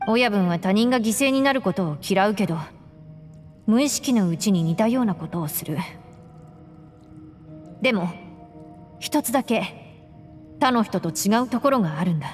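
Cool young female deputy, voiced by an actress, mid-pitched, matter-of-fact, plain-spoken, anime game, music behind. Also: animated, moderate pace, long pauses, natural voice. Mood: reflective. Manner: matter-of-fact, explaining in blunt plain speech. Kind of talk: anime-style game voice acting.

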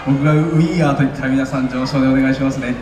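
A man leading a dance team speaks politely to a festival crowd over a loudspeaker at an outdoor stage, building up to rallying calls. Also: medium pitch, flat delivery, racing. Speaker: yosakoi team leader addressing the crowd. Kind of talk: outdoor event MC speech. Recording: echoey PA heard from far off. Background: crowd noise and open-air ambience.

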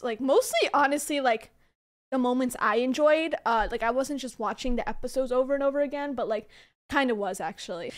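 A young woman hosting a reaction video in casual, slangy, expressive fan chat, close on a microphone in a bedroom. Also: high-pitched. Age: young adult. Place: small bedroom. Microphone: close desk microphone on boom arm.

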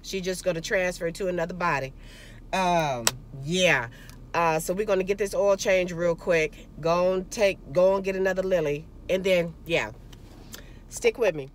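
A woman's voice: on the low side, normal speed, a little hiss or hum. A woman in her forties talking casually to viewers from a parked car, recorded on a phone held at arm's length. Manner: chatty, loose and conversational, often calls listeners "girl". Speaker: vlogger. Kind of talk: casual chat. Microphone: phone camera at arm's length.

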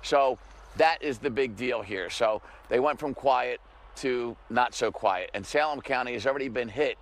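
A middle-aged man delivers a live news report from outdoors. His tone is conversational, with firm emphasis on key points. His pitch sits in an ordinary middle range. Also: moderate pace. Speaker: field reporter. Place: outdoors by a grassy field.

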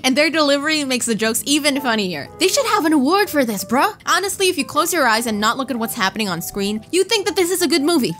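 A woman giving casual scripted movie commentary in a playful, expressive, slangy way. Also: high-pitched, quick pace, few pauses. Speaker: YouTube movie reviewer. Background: soft background music.

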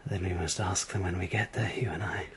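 An actor playing a gravely ill, grieving failed novelist, speaking weakly and in a hushed, halting way in a radio drama. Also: male voice, deep voice, fairly even intonation, a little hiss or hum, natural voice. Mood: dreamy, tender. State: weak and ill.

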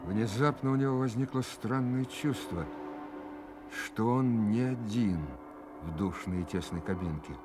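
A man's voice: fairly low pitch, measured pace, noisy background. A man reading scripted dramatic narration as the narrator of a radio play. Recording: radio play with music underneath.

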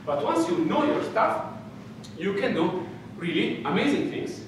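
Animated Italian-accented man lecturing in echoey hall. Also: high voice, a little slow, recorded from a distance. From Italy. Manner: animated, gesturing, playful storytelling with frequent "okay?" checks. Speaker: physics lecturer. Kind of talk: lively university lecture. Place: dark lecture hall with projector screen. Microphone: distant room mic, speaker walking around. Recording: echoey lecture hall recorded from afar with room noise.

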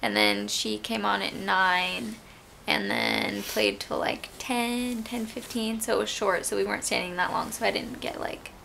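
A woman's voice: mid-range voice, lively intonation, unhurried, creaky, bright, forceful tone, slight background noise. A young woman vlogging in casual chat from a small bedroom, talking into a handheld camera at arm's length. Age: young adult.

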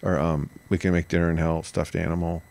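A man co-hosting a podcast in casual chat, laid-back with deadpan jokes. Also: deep voice, a little fast, vocal fry, no echo. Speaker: podcast co-host.

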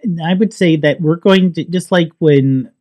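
A man in his forties co-hosts from home over a video call, wearing a headset. His voice sits a little high for a man, and he often backs up and restarts his phrases as he explains. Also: moderate pace, darkish tone. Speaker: podcast co-host. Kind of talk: casual tech chat. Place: home room, remote.

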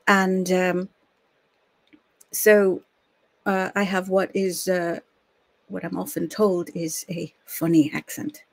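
A woman's voice: lowish voice, flat delivery, takes it slowly, vocal fry, pauses often, no echo. An American woman from the United States in her thirties, hosting a live-streamed history show from her home study in a chatty, friendly way with small fillers. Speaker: host.

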